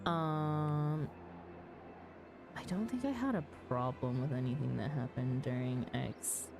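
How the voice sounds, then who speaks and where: A woman's voice: rumbling low, slow, gravelly. A woman hosting a game livestream, chatting casually with viewers in an easygoing way. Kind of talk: casual chat with stream viewers while gaming. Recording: music behind the voice. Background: music.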